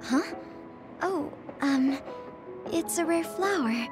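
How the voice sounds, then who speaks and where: A woman playing a gentle, earnest but stubborn young female soldier in an anime-style video game, speaking in short, halting sentences. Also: high-pitched, lively intonation, over music. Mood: gentle, hesitant.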